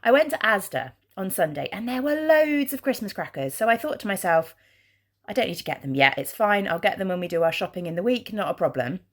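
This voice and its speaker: A woman vlogging in a chatty, rambling way, her voice husky from congestion. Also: medium pitch, big pitch swings, fairly quick. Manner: rambling, chatty, with many ums. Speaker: craft vlogger. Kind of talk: casual vlog chat. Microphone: handheld camera.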